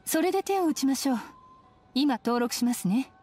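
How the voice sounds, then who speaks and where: A composed, businesslike young woman clerk in a video game. Her high voice is courteous and formal, with a lively lilt. Music plays faintly behind her. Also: moderate pace. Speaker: inspection clerk. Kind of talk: Japanese video game voice acting.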